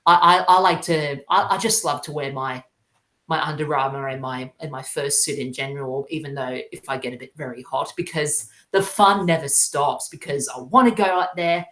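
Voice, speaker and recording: A woman chatting casually as a podcast guest, halting and often repeating her phrases. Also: low-pitched, fairly bright tone. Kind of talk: casual chat. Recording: roomy, echoey sound.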